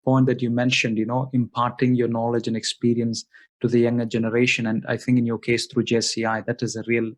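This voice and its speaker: Courteous male interviewer, mid pitch, friendly question-asking. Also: completely flat delivery, brisk. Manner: polite, repeats thanks often. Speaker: interviewer, host. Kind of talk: casual interview.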